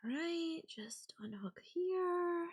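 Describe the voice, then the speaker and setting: A young woman playing a sweet, possessive yandere girlfriend in an intimate audio roleplay, speaking softly and haltingly to the listener. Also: extremely high pitch, lively intonation, heavily acted. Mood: doting, gentle.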